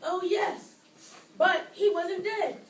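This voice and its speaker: A discouraged, slightly vain boy puppet performed by a woman in a children's show. The voice is high and plaintive. It carries from a distance through an echoey room with faint noise. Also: fairly even intonation, slow pace. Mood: earnest. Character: doubtful, discouraged boy puppet named Carl, a little vain.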